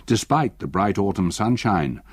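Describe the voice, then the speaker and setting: An older actor playing an elderly retired solicitor, gravely narrating a haunting memory in a husky voice for radio drama. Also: male voice, on the low side, expressive, unhurried, no echo. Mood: hushed, uneasy.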